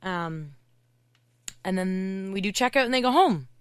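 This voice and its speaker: A woman hockey coach from Canada, a podcast guest, talking in a loud, chatty way with lots of "like" fillers. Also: mid-range voice, lively intonation, clean tone, no echo, studio quality. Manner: chatty, fast storytelling with many "like" fillers. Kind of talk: casual podcast interview.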